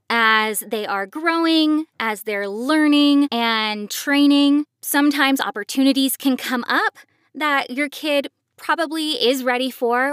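A woman's voice: sits high, fairly bright tone, dry recording, clean studio sound. A woman hosting a podcast, explaining things to parents in a conversational way. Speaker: podcast host and voice actor.

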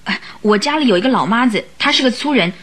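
A woman's voice: fairly high pitch, lively intonation, fast talker, fairly bright tone. An actress playing a polite, anxious young lady seeking help, in a radio drama. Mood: polite, grateful. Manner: polite, deferential.

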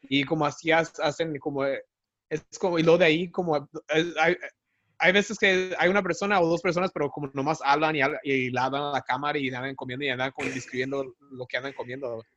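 A man of about thirty, chatting casually as a podcast guest and mixing English words into halting explanations. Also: a little high, fairly even intonation, fairly bright tone, lo-fi sound. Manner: halting explanations, mixes in English words, often seeks agreement. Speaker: podcast guest, stand-up comedian. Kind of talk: casual chat.

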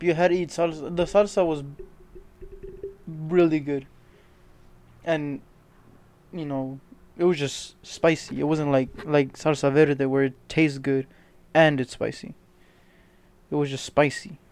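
A slightly higher-pitched man chatting casually with his friends. He is blunt and profane, joking around between complaints. He talks plainly with no strong accent. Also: flat delivery, a little slow, long pauses, a little mellow. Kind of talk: casual chat among friends.

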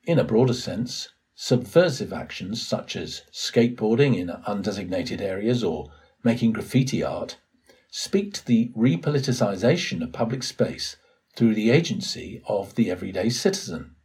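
A man reading a written essay aloud as scripted narration, in a formal, measured way. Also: fairly low pitch, animated, darkish tone, breathy edge. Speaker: volunteer reader of an audio blog post.

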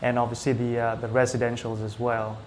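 A man from Singapore chatting casually as an interview guest, with hesitant, halting phrasing. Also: mid-range voice, completely flat delivery, darkish tone, a little hiss or hum. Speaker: small business owner, IT technician, interview guest.

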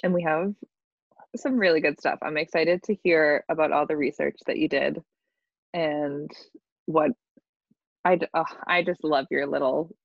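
A woman with a deep, chesty voice with some vocal fry. She teases her co-host and giggles as she chats. The video call audio is dull and dry. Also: fairly even intonation, a little slow, pauses often, no room sound. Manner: teasing, giggly. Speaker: podcast co-host. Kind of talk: casual chat over video call.

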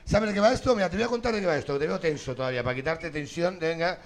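A man's voice: a little high, rapid-fire. A man hosting a live comedy game show, teasing and swearing as he jokes with the audience. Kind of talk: loose stand-up banter with the audience.

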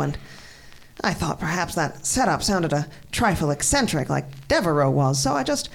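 Indignant lady novelist, lowish pitch, lively intonation, brusque and flustered, old-time suspense drama. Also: rough recording. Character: flustered, indignant female mystery writer, strong-willed.

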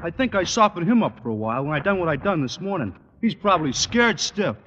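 An actor playing a cocky, slow-witted teenage athlete with ungrammatical, boastful talk in a radio sitcom. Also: male voice, fairly high pitch, big pitch swings, fairly bright tone, dull audio, a little hiss or hum. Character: dim, cocky teenage male high school athlete. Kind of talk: 1950s radio sitcom acting. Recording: old radio recording with long reverb.

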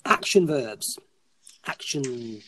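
A man's voice: mid-range voice, expressive, extremely slow, bright, forceful tone, slight background noise. A man co-hosting a podcast discussion, speaking in formal, elaborate sentences with frequent restarts, losing his voice. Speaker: podcast co-host.

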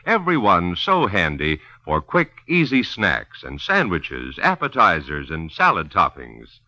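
A man's voice: low voice, wildly swooping pitch, measured pace, pushed and bright, dry recording. A man announcing and narrating in polished 1950s radio style, as salesman and storyteller. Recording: muffled 1950s radio recording.

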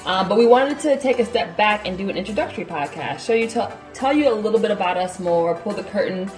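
Playful, animated young woman podcast host. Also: mid-range voice, fast, faint music behind. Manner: playful, teasing. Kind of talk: casual family podcast chat.

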